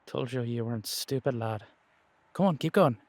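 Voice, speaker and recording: A man's voice: medium pitch, moderate pace, a little mellow, close to an everyday voice. A man voicing a long-lived Irish warrior, a blunt, affectionately scolding mentor, in an amateur audio roleplay. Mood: coaxing.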